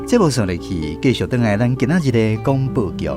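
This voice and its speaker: A man with a Taiwanese accent narrating a scripted radio storybook drama in an expressive storytelling manner. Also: mid-range voice, brisk, over loud music.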